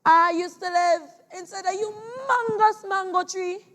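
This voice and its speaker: A young boy with a Trinidadian accent playing a boastful, deceitful trickster spider, telling his tale theatrically on stage with drawn-out, exaggerated words. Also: very high voice, pushed and bright, heavily acted. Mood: haughty. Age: child. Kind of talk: theatrical competition storytelling on stage.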